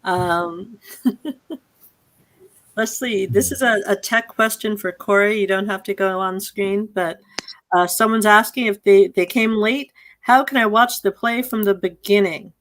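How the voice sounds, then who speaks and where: A woman's voice: medium pitch, measured pace, a bit bright. A woman informally hosting an online event over a video call, speaking in an effusive, thankful manner. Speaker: host and director.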